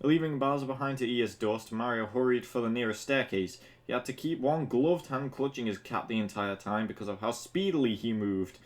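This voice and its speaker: A man's voice: medium pitch, fairly quick, hardly any pauses. A man who sounds tipsy, reading fan fiction aloud casually and comedically, stumbling over words and breaking off to mock the text with sweary asides. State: drunk. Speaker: fan fiction reader and commentator.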